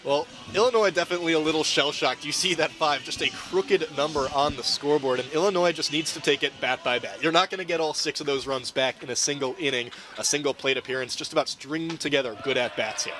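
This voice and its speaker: A man's voice: a little high, quick pace, few pauses, over music. A man giving live colour analysis on a baseball broadcast.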